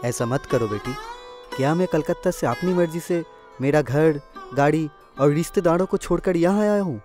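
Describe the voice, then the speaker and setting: A man's voice: fairly high pitch, normal speed, a little mellow, soft background music, close to an everyday voice. A man voicing an earnest middle-class father in a Hindi cartoon story. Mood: gently reproving.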